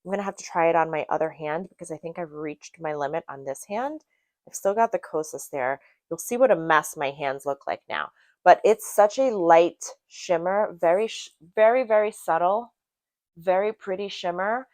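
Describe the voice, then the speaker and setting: A woman's voice: fairly low pitch, normal speed, darkish tone, dry recording. A woman from Brazil giving a casual product review to camera in a chatty, emphatic way, repeating words for emphasis. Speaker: beauty product reviewer and vlogger.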